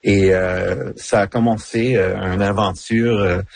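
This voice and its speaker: A middle-aged man, a novelist whose first language is English, speaking French haltingly in a radio interview with many fillers and restarts. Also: on the low side, fairly even intonation, gravelly, a little mellow, no echo. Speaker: novelist guest.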